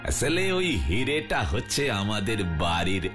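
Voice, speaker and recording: Rich boastful cartoon landlord, deep voice that swoops around, hindi accent. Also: male voice, big pitch swings, moderate pace. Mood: boastful, reassuring. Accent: Hindi-accented Bengali. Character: wealthy, boastful landlord with a blue diamond. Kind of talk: exaggerated cartoon dubbing. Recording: loud music behind the voice.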